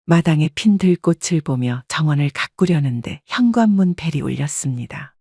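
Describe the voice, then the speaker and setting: Woman narrator, scripted first-person audio story, even wistful delivery, husky tone, dry recording. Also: low voice, moderate pace, dry recording.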